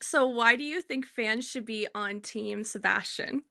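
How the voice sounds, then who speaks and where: A woman's voice: a little high, unhurried, vocal fry, bright, forceful tone. A woman interviewing a guest, asking questions and giving short affirmations. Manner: brief affirming backchannels, laughs easily.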